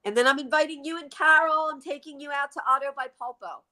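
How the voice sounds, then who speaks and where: A woman's voice: high voice, steady tone, fast talker, sharp tone. A woman in her sixties from the Philadelphia Main Line, co-hosting a casual live chat, speaking reflectively, laughing often and repeating words while she thinks. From Philadelphia Main Line, USA. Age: 60s. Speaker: co-host.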